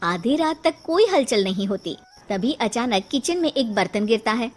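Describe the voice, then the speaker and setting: A woman's voice: on the high side, expressive, moderate pace. A woman reading scripted narration for a story as its narrator.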